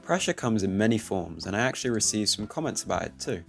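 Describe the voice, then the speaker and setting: A teenage man speaking calmly and reflectively, commenting over his gameplay. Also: fairly low pitch, fast, gravelly. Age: teens. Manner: soft-spoken, reflective. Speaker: YouTuber. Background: faint music.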